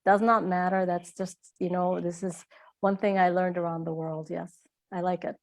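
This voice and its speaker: A woman's voice: fairly low pitch, flat delivery, moderate pace. A middle-aged woman from Iraq, an author answering interview questions in a reflective way with frequent hesitations.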